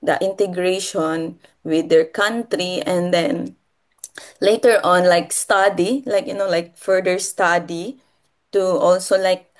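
A woman from the Philippines with a Filipino accent and Filipino as her first language, talking casually and haltingly, often repeating and rephrasing her phrases. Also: fairly low pitch, fairly even intonation. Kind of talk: casual conversational personal talk.

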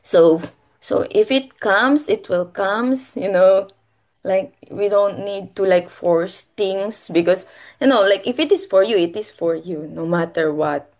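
A woman from the Philippines with a Filipino accent and Filipino as her first language, talking casually and haltingly, often repeating and rephrasing her phrases. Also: medium pitch, darkish tone. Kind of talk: casual conversational personal talk.

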